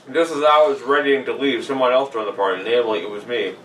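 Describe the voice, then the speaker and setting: Man, podcast banter and read-aloud, sarcastic, crisp bright tone, distant mic, faint noise. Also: medium pitch. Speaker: podcast co-host. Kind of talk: casual banter and reading a horror story aloud.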